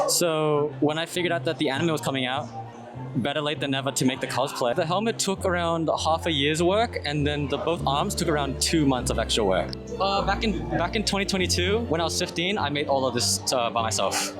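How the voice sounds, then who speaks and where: A man in his late teens from Australia speaks in a slightly raised register. He explains things casually as an interviewee. Music is clearly audible behind him. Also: fairly high pitch. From New South Wales, Australia. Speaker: cosplayer being interviewed.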